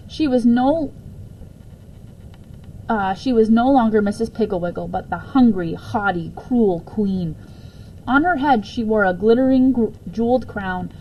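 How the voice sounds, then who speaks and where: A woman reading a children's book aloud as storyteller, expressive in her narration. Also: fairly high pitch, muffled recording. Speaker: story reader. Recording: faint background noise.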